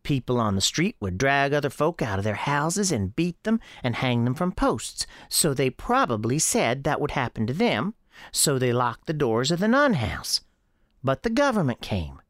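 A woman voicing a young girl with a high girlish voice and a folksy, childlike storytelling manner in an audio drama. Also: sing-song, moderate pace, dry recording, studio quality. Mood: earnest. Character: young Southern girl Sarah, earnest, defiant, chatty. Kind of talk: acted audio drama dialogue.

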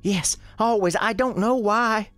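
A woman's voice: mid-range voice, unhurried, dry recording, soft background music, close to an everyday voice. A woman playing a frightened ghost girl, an imaginary friend, in a radio drama, pleading with breathless urgency. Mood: anguished.

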